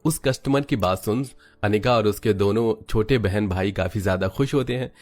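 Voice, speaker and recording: A man's voice: lowish voice, moderate pace, faint music behind. A man reading scripted narration for a cartoon story as its storyteller.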